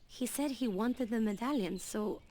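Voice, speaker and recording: A woman's voice: on the high side, moderate pace, dark tone, a little hiss or hum, natural voice. A woman playing a defensive, pleading young woman in a Western video game, speaking earnestly. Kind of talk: dramatic video game cutscene acting.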